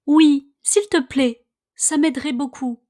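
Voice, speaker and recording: A woman with a high voice reads scripted lines for a language lesson. She goes very slowly, phrasing each line with care so that learners can repeat it. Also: steady tone, warm tone. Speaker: language teacher. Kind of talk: scripted dialogue read slowly for listen-and-repeat practice.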